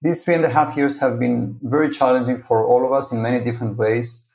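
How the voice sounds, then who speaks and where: Gravelly Greek-accented man. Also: medium pitch, fairly even intonation, fairly quick, a little mellow, poor audio. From Greece. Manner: thoughtful, often checks in with "right?". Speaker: agency founder, podcast guest. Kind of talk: conversational interview.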